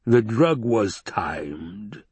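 A man voicing an obese, sinister male baron with a rumbling basso voice, slow and taunting, in an audiobook. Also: lively intonation, a little mellow, slightly rough, no echo. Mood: gloating. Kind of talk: audiobook character voice.

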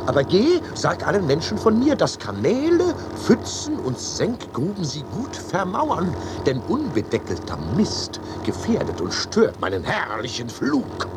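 A man's voice: sits high, huge pitch swings, unhurried, hardly any pauses, background noise, heavily acted. A man playing a stubborn, grandiose winegrower and father on a lofty mission, declaiming pompously in a radio comedy. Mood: pompous, scolding. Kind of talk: theatrical radio play of ancient comedy.

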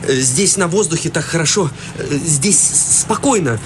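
A man's voice: a little high, animated, background noise, close to an everyday voice. An actor playing a shy, evasive young man in a radio play, awkward and stammering. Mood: flustered. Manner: halting, stammering.

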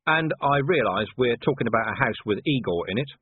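A man's voice: mid-range voice, expressive, brisk, slightly rough, narrow, tinny audio. A man voicing a jumpy, grumbling city watch commander in an audiobook, blunt and exasperated.

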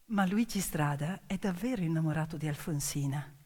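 A woman telling a story theatrically in short emphatic phrases with repetition, moving between hushed and loudly projected delivery. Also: sits low, normal speed. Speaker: stage storyteller. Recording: long hall reverb, music behind. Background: music, piano accompaniment.

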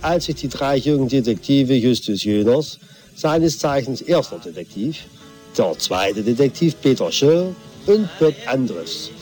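A man playing the formal storyteller of a detective radio play, narrating in a measured, scripted way. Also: medium pitch, expressive, a little mellow, soft background music, natural voice. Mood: storytelling.

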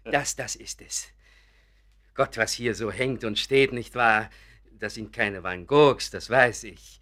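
An actor playing a vain, touchy bookkeeper who fancies himself an artist in a German radio play, self-important, hesitant and insistent. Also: male voice, mid-range voice, slow pace, a bit bright. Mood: defensive.

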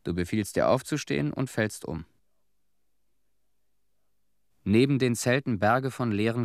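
An actor playing a weary, bitter former cleanup worker who recalls events in a terse, sober monologue for a German radio play. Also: male voice, lowish voice, dry recording, studio quality. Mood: sober recollection.

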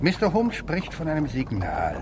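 An actor playing a proud, resolute London police inspector in a radio drama, official and emphatic. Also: male voice, a little high, huge pitch swings, moderate pace. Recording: clear ambient noise behind radio play.

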